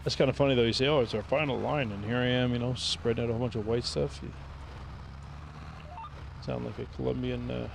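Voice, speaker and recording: Man with a gravelly voice, thinking aloud. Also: mid-range voice, fast talker, long pauses, noisy background. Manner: thinks aloud, relaxed and rambling. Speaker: gaming streamer. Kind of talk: casual gameplay commentary.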